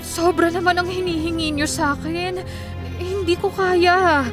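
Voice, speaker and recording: An actress playing a gentle, generous young woman writer in a Filipino radio drama, speaking politely. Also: very high-pitched, fairly even intonation, measured pace, music in the background. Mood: anxious, pleading.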